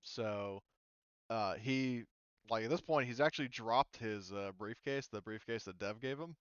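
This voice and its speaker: A man's voice: on the low side, measured pace, clear, resonant tone, no echo, muffled recording. A man acting as game master in a casual online tabletop session, explaining rules informally. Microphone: voice call over the internet.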